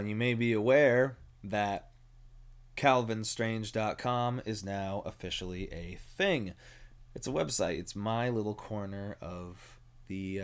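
A young man hosting a solo podcast from home, rambling and mumbling with little jaw movement, often restarting his sentences. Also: lowish voice. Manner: frequent ums and self-corrections. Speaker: podcast host.

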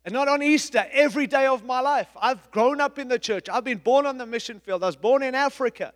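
A middle-aged man, a pastor, preaching a sermon in an emphatic, repetitive style with big swings in loudness. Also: very high-pitched, brisk, big-room sound. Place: church.

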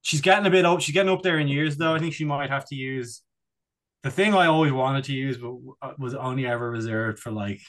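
A man's voice: medium pitch, fairly quick, fairly bright tone. A man chatting casually as a comedy podcast co-host, rambling and digressing with a jokey manner.

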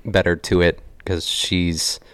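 A man with a very deep voice, raspy from a cough he can't shake. He co-hosts a podcast in laid-back, chatty conversation. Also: flat delivery, slow, fairly bright tone, no echo. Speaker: podcast co-host. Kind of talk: casual podcast chat.

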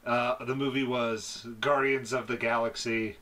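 A man's voice: mid-range voice, little pitch movement, measured pace, pushed and bright. A man hosting a podcast in casual banter, joking and prompting the others. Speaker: podcast host.

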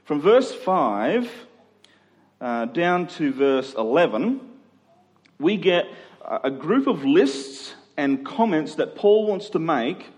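A man's voice: a little high, big pitch swings, takes it slowly, darkish tone. A middle-aged man preaching in a conversational expository style, using rhetorical questions and emphatic repetition. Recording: long hall reverb.

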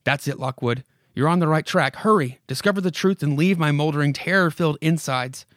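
A man's voice: fairly high pitch, a little fast, fairly bright tone, no echo, pitched above the performer's own voice, close to an everyday voice. A man puts on the voice of a haunted old house from a horror comic. It speaks straight to its victim with dramatic urgency, insistent and commanding. The sound is dry, with hardly any room around it. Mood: urgent, coaxing. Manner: dramatic, commanding second-person address with repeated imperatives. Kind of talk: horror comic read aloud.

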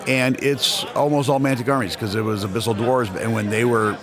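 A man's voice: medium pitch, fairly even intonation, a little fast, over music. A man in his fifties, a store owner chatting casually in an interview, rambling and repeating words as he starts sentences. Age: 50s. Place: convention hall. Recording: busy convention crowd behind.

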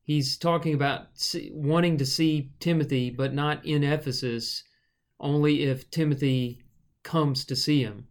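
A man's voice: on the high side, steady tone, unhurried. A man co-hosting a casual Bible study discussion, musing aloud in a rambling, thoughtful way with the odd joke. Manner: thoughtful, rambling, jokey asides. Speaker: podcast co-host.

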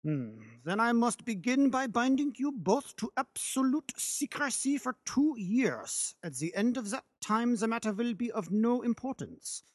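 A man's voice: very high-pitched, very animated, measured pace, hardly any pauses, dry recording, pitched above the performer's own voice, exaggerated voice. A man reading a classic detective story, voicing a haughty disguised foreign king with a German accent, formal and grave. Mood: grave, secretive. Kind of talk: audiobook character voice.

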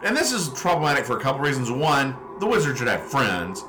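A man's voice: mid-range voice, huge pitch swings, brisk, background noise. A man hosting a comedy podcast, describing comic strips in casual banter with a mock-serious, teasing manner.

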